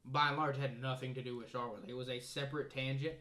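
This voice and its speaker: A man's voice: medium pitch, fairly even intonation, quick pace, pushed and bright, a little hiss or hum. A man co-hosting a gaming podcast, chatting in an informal, jokey way with the others. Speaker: podcast co-host. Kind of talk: casual round-table gaming chat.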